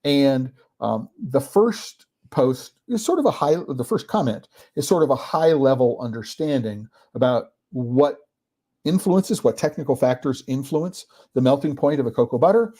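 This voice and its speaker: A man hosting a podcast, giving an informal explanatory talk in a halting way, searching for words and often checking in with the listener. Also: medium pitch, expressive, mellow. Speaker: podcast host.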